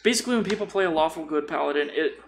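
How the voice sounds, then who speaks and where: A man's voice: on the high side, animated, very fast, vocal fry, a little hiss or hum. A man chatting casually on a podcast about tabletop role-playing, informal and rambling, swearing freely. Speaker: podcast co-speaker.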